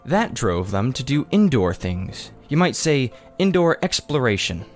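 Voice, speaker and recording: A man reading a children's story aloud in expressive narration. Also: medium pitch, a little slow, soft background music. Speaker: audiobook reader. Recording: faint background noise.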